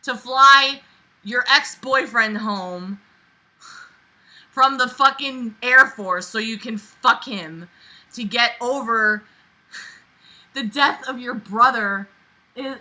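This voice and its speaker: Very bright-voiced, emphatic woman reacting out loud. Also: a little high, slow, pauses often. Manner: animated, exasperated, emphatic, dramatic swings from muttering to shouting. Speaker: host reading a book aloud with commentary. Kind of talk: reading aloud with outraged, profane reaction commentary.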